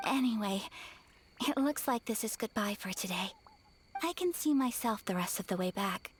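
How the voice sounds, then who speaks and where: A woman voicing a gentle, graceful young noblewoman in a video game, soft-spoken and breathy. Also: mid-range voice, animated, moderate pace, slight background noise, natural voice. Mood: tender. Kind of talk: game voice acting.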